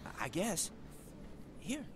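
A man's voice: high voice, huge pitch swings, slow pace, background noise, heavily acted. An actor playing a timid, gullible young man in a video game, speaking hesitantly. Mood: hesitant, eager. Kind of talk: video game character dialogue.

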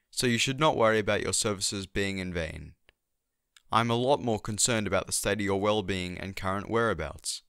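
Casting: formal, concerned scientist male actor, lowish, sharp voice, stiff and measured, dry studio sound for radio drama. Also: no echo. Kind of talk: radio play acting.

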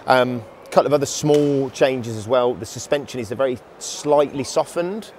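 A man in his thirties talks bikes in a relaxed, enthusiastic way, often restarting his phrases. His voice sits at an ordinary pitch for a man. A faint hum of the exhibition hall sits behind him. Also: normal speed. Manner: chatty, enthusiastic, frequent restarts. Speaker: motorcycle show presenter. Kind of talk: casual review chat. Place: indoor motorcycle exhibition hall.

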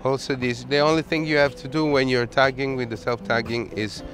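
A man's voice: mid-range voice, steady tone, a bit bright. Italian-accented man giving a boat tour. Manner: halting, searching for English words. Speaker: sailboat owner. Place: outdoors on a sailboat deck and cabin. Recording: background music.

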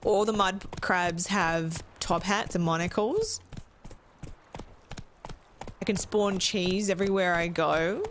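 An Australian woman chatting excitedly and swearing casually as she streams a game. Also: fairly low pitch, slow pace, pauses often, a little hiss or hum. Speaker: gaming streamer. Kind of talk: casual let's-play chat.

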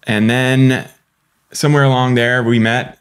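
A man's voice: mid-range voice, flat delivery, a little slow, clear, resonant tone. A man in his thirties, a filmmaker guest telling his story in a casual, rambling interview. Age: 30s.

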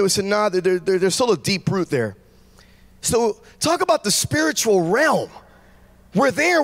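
A man in his forties preaching a sermon in a large church, speaking into a handheld mic with fervent, shouting delivery. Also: high voice. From United States. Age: 40s. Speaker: pastor. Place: large church stage with congregation.

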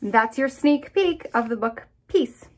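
A woman in her thirties with a fairly high voice presents and reads picture books for children. Her delivery is expressive and addresses the young listeners directly, recorded at arm's length in a small room. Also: slow. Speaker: children's author reading aloud. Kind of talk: picture book read-aloud for children.

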